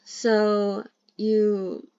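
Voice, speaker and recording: A woman's voice: mid-range voice, little pitch movement, very slow, dark tone, smooth, no room sound. Brief: a woman, non-native English, hosting a chatty novel read-along; trips over words and restarts, on a dull lo-fi mic. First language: not English. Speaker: channel host reading a novel aloud.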